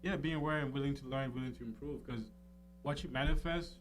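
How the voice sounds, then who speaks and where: A man's voice: mid-range voice, brisk, faint music behind. A man speaking as a radio show guest in casual conversation, easygoing and agreeable, feeling his way through his phrases. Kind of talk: casual chat.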